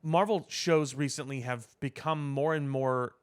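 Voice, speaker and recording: A man co-hosting a casual podcast discussion, giving opinions in a halting way, repeating words as he builds his point. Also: medium pitch, clean tone. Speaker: podcast co-host.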